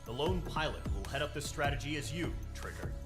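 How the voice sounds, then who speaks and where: A man playing a stern air force commander giving a formal, measured briefing in a game. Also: fairly high pitch, animated, close to an everyday voice. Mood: businesslike. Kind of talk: scripted military briefing. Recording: music behind the voice.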